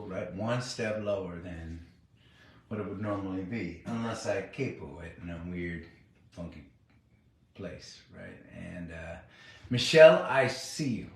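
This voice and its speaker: Raspy middle-aged man, echoey room. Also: low voice, far from the mic, slight background noise. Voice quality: raspy, worn voice. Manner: rambling and relaxed, chatting to viewers. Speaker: musician hosting a livestream. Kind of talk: casual chat between songs. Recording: very echoey room with loud guitar. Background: acoustic guitar playing.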